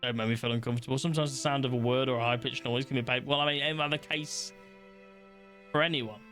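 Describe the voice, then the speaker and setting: Chatty young streamer, bright voice. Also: male voice, medium pitch, steady tone, fast talker, soft background music. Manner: chatty, blunt, talks to his chat, swears casually. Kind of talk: casual livestream chat, reading test questions aloud.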